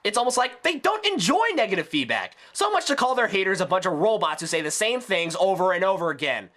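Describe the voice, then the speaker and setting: A man's voice: high-pitched, very animated, fast, a bit bright. A man delivering a scripted ranting review in a sarcastic, exasperated, emphatic way. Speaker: video reviewer.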